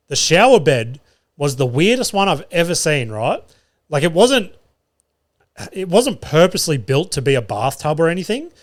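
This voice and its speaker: A young man hosting his solo podcast, telling comedy-gig stories in a loose, sweary way and laughing at his own jokes. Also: medium pitch. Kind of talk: casual solo podcast storytelling. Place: studio.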